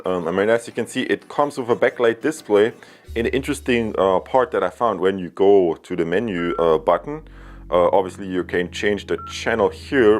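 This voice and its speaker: A man giving a casual tech product review, choosing his words carefully and a little hesitantly. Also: fairly low pitch.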